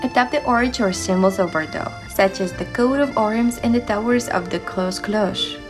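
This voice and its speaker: A woman's voice: medium pitch, moderate pace. A young woman reading a scripted voice-over as the narrator of a travel guide. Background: background music.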